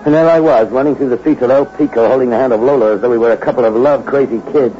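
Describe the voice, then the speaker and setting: A man's voice: mid-range voice, rapid-fire, lightly acted. A man playing a tough, bitter small-time hoodlum in a 1960s radio crime drama, with slangy, hard-bitten delivery. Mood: hard, brooding. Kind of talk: 1960s radio crime drama acting with first-person narration. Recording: dull old radio recording with music behind.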